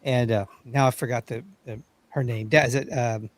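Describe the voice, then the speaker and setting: Halting man on a fan panel. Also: medium pitch, steady tone. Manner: halting, searches for words. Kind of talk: casual fan discussion.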